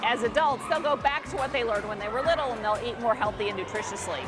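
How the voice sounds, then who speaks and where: A woman's voice: fairly high pitch, fast, a crowd in the background. A woman explaining things conversationally in an interview, as an education expert. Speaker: museum education director being interviewed. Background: clear background music.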